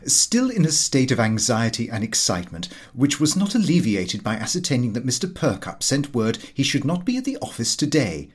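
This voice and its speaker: A man narrates a comic diary with very lively, swooping intonation. His voice is husky and sits at a middling pitch, in the expressive style of an audiobook reader. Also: moderate pace, hardly any pauses.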